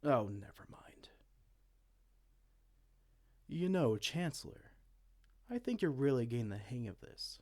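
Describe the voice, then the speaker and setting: A man's voice: mid-range voice, very animated, slow pace, pauses often, a little mellow. A man playing a dorky, petulant evil king in a comedic fantasy roleplay, pompous, theatrical and exasperated. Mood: confiding. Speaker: amateur roleplay audio performer.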